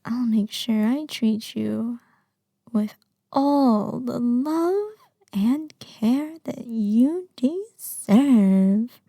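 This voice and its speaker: A woman acting an obsessive, lovesick young kidnapper in an intimate ASMR roleplay, sweetly menacing with breathy murmurs and sighs. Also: a little high, lively intonation, very slow, warm tone, no echo, clean studio sound, exaggerated voice. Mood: possessive, doting.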